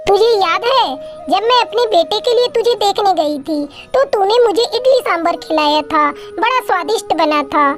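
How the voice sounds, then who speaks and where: A very high, small cartoon bird voice from a woman, playing a bossy mother-in-law sparrow. She advises and schemes in a familiar, motherly way. Faint music plays underneath. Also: expressive, normal speed. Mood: advising. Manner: advising, addresses her daughter-in-law familiarly. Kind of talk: Hindi children's cartoon acting.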